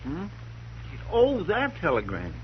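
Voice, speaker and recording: Eager deputy sidekick, deferential drawl, lively voice, muffled old radio with loud hiss. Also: male voice, fairly high pitch, takes it slowly, exaggerated voice. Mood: dutiful. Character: loyal, eager-to-please deputy helper. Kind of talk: 1950s radio drama acting.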